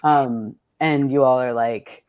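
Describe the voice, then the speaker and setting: American woman, very low pitch, dry recording, casual host, effusive, slangy, sweary. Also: steady tone, takes it slowly, darkish tone, dry recording, rough recording. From born in Texas, United States. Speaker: host of a poets' table reading. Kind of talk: casual, unscripted conversation with fellow poets.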